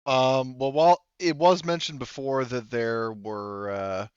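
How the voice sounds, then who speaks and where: A man improvising narration as game master of a tabletop game, speaking in a hesitant, halting way. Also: medium pitch, steady tone, clean tone, no room sound, lo-fi sound.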